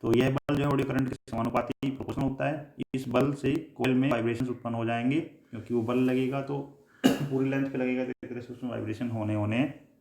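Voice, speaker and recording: A man's voice: mid-range voice, level tone, creaky, a little mellow. Indian male lecturer in an echoey room. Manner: halting, repetitive explaining with frequent filler phrases. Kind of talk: technical lecture in Hindi mixed with English terms.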